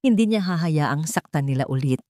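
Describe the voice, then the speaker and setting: A woman's voice: sits low, expressive, moderate pace, mellow, dry recording, studio quality. A woman narrating a scripted romance story in a dramatic style, as a storyteller.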